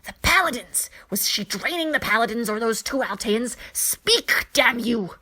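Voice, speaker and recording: A woman puts on a menacing alien witch for an audio story. She barks commands and threats in a husky voice that drops into hisses and rises into loud snarls. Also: a little high, animated, a little slow, voice pushed up, heavily acted. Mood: furious, threatening. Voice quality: hissing, snarling. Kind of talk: dramatized fan fiction reading.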